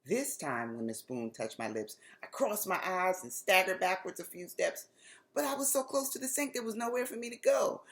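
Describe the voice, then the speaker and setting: A woman with an American accent reading a children's story aloud expressively, with deliberate, dramatic phrasing. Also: medium pitch, expressive.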